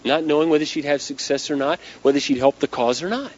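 A man's voice: fairly high pitch, fast talker. A man who is losing his voice, telling a story dramatically and conversationally as an inspirational speaker. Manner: dramatic, conversational, acting out dialogue. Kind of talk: storytelling talk to a live audience. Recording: dull lo-fi talk recording.

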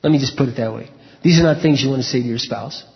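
A man preaching a sermon and telling personal stories in a frank, conversational way, often clearing his throat. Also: medium pitch, fast talker. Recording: echoey hall, dull lo-fi sound.

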